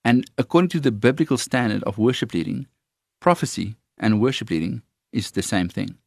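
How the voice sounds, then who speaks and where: Afrikaans guy, kinda low voice, earnest, lots of ums and restarts, dry mic. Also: lively intonation, gravelly, no echo. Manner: earnest, halting with frequent fillers. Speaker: worship leader trainer. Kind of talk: casual conversation on faith.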